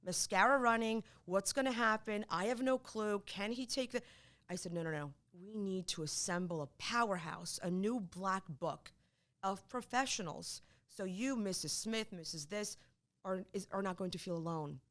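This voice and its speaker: A middle-aged woman from Poland, whose first language is Polish, talks in an animated, emphatic way, often repeating words as she makes her point. Her voice sits at a middle pitch for a woman, and she speaks in a relaxed, conversational interview tone. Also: normal speed. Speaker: divorce attorney, guest.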